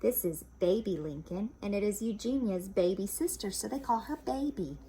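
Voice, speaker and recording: A woman reading a children's storybook aloud expressively, pausing to explain things simply for young listeners. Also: mid-range voice, animated, mellow, slightly rough.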